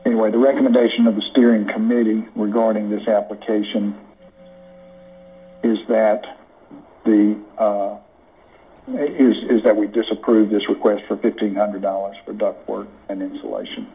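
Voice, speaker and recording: An American man giving a formal committee report in a halting, deliberate way over a conference call. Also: fairly low pitch, steady tone, slight background noise. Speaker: committee member presenting recommendations. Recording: muffled conference call audio.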